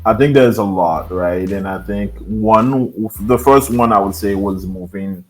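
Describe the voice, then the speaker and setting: A deep-voiced Haitian man in his thirties speaks as a podcast guest. He talks softly and haltingly, with plenty of fillers, and faint background music sits under his voice. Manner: soft-spoken, hesitant with many fillers. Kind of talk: interview, personal storytelling.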